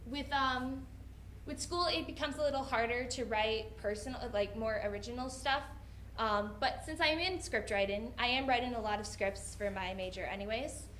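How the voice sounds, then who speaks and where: A young woman writer answering audience questions off the cuff, often halting and restarting her thoughts. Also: on the high side, fairly bright tone. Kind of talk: unscripted question-and-answer talk after a reading. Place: indoor room at a college event. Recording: roomy echo, faint background noise.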